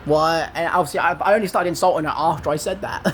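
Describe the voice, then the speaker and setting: A man in his twenties with an English accent and a high, squeaky voice, rambling through an aggrieved retelling of an online argument. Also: brisk, a bit bright, a little hiss or hum. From England. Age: 20s. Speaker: gaming vlogger. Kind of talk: casual commentary.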